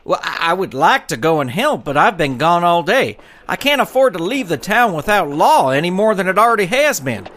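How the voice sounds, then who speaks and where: A man's voice: high-pitched, sing-song, fairly quick, hardly any pauses, a bit bright. A man playing an earnest, polite, folksy small-town deputy in a western audio drama for children. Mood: dutiful, hesitant.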